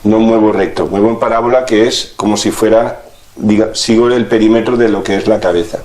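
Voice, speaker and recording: A man, calmly explaining a healing treatment while he demonstrates it. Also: lowish voice, brisk, reverberant. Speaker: energy healing practitioner.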